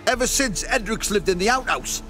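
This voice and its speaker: A man's voice: high voice, expressive, a little fast, sharp tone, soft background music. An actor playing a threatening, taunting village henchman in a video game. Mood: aggrieved. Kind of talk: video game cutscene acting.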